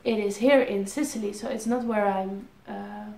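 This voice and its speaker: A woman's voice: medium pitch, fairly even intonation, normal speed, vocal fry, darkish tone, echoey room. A Dutch-accented woman in her twenties, reading out viewer questions and answering them casually with ums and restarts. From Netherlands. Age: 20s. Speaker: airline pilot vlogger. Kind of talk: casual Q&A vlog.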